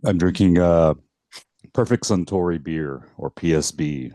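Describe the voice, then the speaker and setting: Male guest with a very low voice, relaxed and stop-start, dry close call sound. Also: no room sound. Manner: relaxed, halting, laughs a lot. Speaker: podcast guest. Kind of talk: casual chat.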